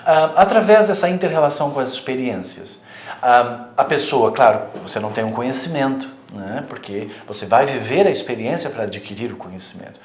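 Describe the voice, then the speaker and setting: A man's voice: medium pitch, animated, fairly quick. A Brazilian man with a mid-range voice explains spiritual concepts as a studio guest. He speaks reflectively, often tagging his sentences with "né". The room has a noticeable echo. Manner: reflective, explanatory, frequent "né" tags. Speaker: therapist, guest. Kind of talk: spiritual interview talk.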